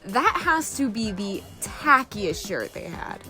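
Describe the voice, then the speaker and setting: A woman's voice: mid-range voice, very animated, slow pace, bright, forceful tone, faint music behind, exaggerated voice. A woman playing a sardonic, crude, teasing rogue of a space crew in an audio drama, with a sarcastic, needling delivery.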